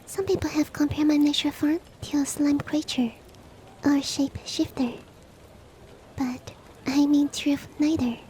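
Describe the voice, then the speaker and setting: A woman playing a polite, devoted shapeshifting monster-girl maid in an ASMR roleplay, speaking softly and often in a whisper, with formal, gently earnest courtesy. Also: high-pitched, fairly even intonation, unhurried. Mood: gentle, explaining. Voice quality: soft, breathy, often whispered. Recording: faint ambient rain noise behind. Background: soft rain ambience.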